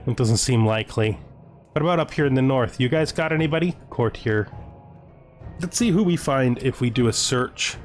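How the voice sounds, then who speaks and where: A man's voice: medium pitch, normal speed. A man giving casual let's play commentary on a strategy game, musing aloud and reading game text out. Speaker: gaming video host. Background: background music.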